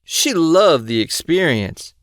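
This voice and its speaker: Man playing a smug, slow-talking boastful sergeant. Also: a little high, sing-song, fairly bright tone, dry recording, studio quality, full character voice. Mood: smug, breezy. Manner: self-satisfied, breezily excusing himself. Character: smug, boastful male sergeant, slippery charmer. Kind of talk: audio drama acting.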